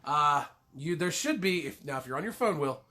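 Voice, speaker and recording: A man co-hosts a livestream while stuffy with a cold, his voice sitting a little high. He rambles loosely, swears offhand and jokes at his own expense over a laptop mic. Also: animated, normal speed, bright, forceful tone. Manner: rambling, swears casually, self-deprecating jokes. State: congested with a cold. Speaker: livestream co-host. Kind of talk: casual livestream chat.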